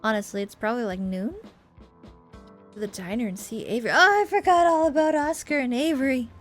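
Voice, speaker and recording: A woman gives chatty, expressive commentary on a game playthrough and reads its text aloud in a casual way. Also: on the high side, brisk, soft background music. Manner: chatty, expressive reactions and asides. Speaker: video game streamer.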